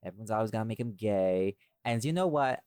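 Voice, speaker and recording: A man's voice: lowish voice, animated, brisk, darkish tone, no room sound, studio quality. A man chatting casually as a podcast host, rambling and opinionated, with some casual swearing. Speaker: podcast host and audio drama writer.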